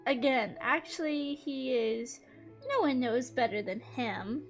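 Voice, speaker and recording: A woman's voice: high voice, animated, slow pace, soft background music. A woman streaming a video game with playful, giggly, teasing live reactions.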